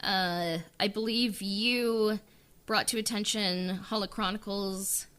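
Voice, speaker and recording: A woman's voice: medium pitch, steady tone, slow pace, fairly bright tone, clean tone. A woman chatting casually on a podcast as a writer-director, informal and hesitant in the way she talks. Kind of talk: casual interview chat.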